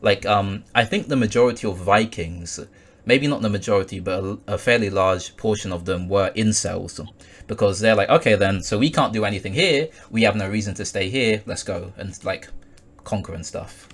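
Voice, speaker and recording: Twenty-year-old male guest, lowish voice; halting, filler-laden casual talk. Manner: hesitant, full of ums and likes, laughs often. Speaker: podcast guest. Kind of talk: casual conversation.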